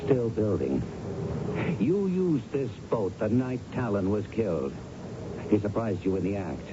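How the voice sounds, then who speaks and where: Shrewd, low-voiced police lieutenant. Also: male voice, very animated, unhurried. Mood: accusing, methodical. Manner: methodical, knowing, pointed. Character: shrewd, confident police lieutenant pressing a suspect. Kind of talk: old-time radio crime drama acting. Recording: muffled, noisy vintage radio recording.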